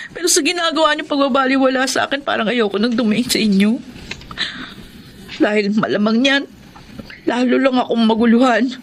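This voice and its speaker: A woman's voice: high-pitched, slight background noise. An actress playing a troubled young student estranged from her family, with a Filipino accent, in an earnest Tagalog radio drama style. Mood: distressed, pleading.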